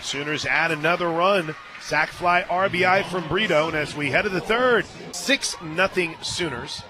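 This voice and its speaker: A man doing live radio play-by-play of a softball game, mixing pitch calls with folksy, joking asides. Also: moderate pace, over music. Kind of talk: live softball radio commentary with casual banter. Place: outdoor stadium press booth.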